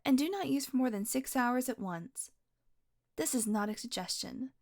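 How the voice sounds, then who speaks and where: A woman's voice: high voice, expressive, normal speed. A woman voicing a playful, predatory female vampire who teases and mocks, sometimes dropping to a whisper. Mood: mocking. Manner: playful, mocking, drifts into whispers. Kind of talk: audio roleplay.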